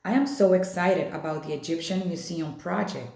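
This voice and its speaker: An earnest young schoolgirl from a storybook, acted out by a woman reader. She is friendly but quick to take offence, and she speaks in an animated, insistent way. The room adds a clear echo. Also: on the low side, lightly acted. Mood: eager, upbeat.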